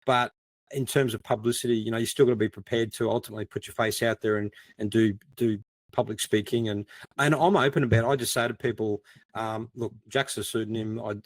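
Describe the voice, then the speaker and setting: A man's voice: on the low side, steady tone, fairly quick, no room sound. Chatty man with a dry, close sound. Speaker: podcast co-host and crime writer. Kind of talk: casual conversational anecdotes.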